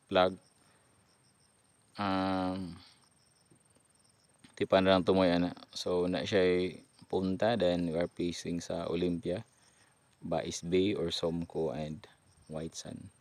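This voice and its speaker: A man's voice: low-pitched, a little mellow, no room sound. A man with a Cebuano Filipino accent giving casual, informal vlog commentary in short fragments as he works on site. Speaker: radio repeater technician and vlogger. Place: hilltop radio repeater site. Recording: noisy on-site amateur recording.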